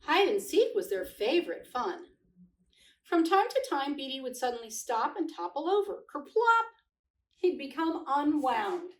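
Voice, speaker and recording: Slow, expressive woman storyteller in an echoey room. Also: high-pitched. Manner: gentle, expressive storytelling for children. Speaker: read-aloud host. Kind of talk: storybook read-aloud with casual chat. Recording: echoey room, distant microphone.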